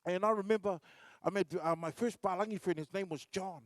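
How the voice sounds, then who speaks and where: High-voiced man telling standup stories. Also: brisk, no room sound, studio quality. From New Zealand. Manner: storytelling with acted-out voices, many fillers and restarts. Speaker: comedian and MC. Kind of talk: live standup comedy. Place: large concert hall stage.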